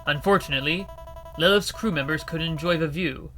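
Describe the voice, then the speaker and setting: Man reading a story out loud, bright sharp voice, a bit high, quiet music behind. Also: fairly quick. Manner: steady, expressive reading. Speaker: narrator reading a visual novel. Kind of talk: story narration. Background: faint music.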